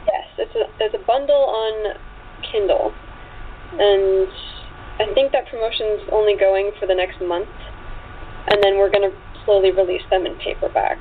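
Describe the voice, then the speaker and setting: A woman's voice: medium pitch, steady tone, normal speed. A woman chatting casually and effusively as an interview guest, friendly in manner. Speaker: interview guest, author and actress. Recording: tinny phone line with faint noise.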